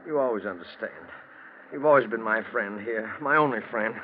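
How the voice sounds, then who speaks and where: An actor playing a fearful, lovestruck plantation owner in a 1940s radio drama, speaking in a halting, agitated, melodramatic way. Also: male voice, lowish voice, lightly acted. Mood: pleading. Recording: muffled, noisy 1940s radio recording.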